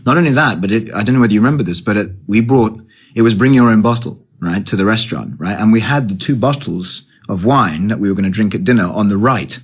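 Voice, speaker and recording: A man in his twenties with a London accent, telling a story in casual conversation with many restarts and self-corrections, and growing lively when he gets excited. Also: lowish voice, fast, husky. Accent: London English. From England. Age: 20s. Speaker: guest.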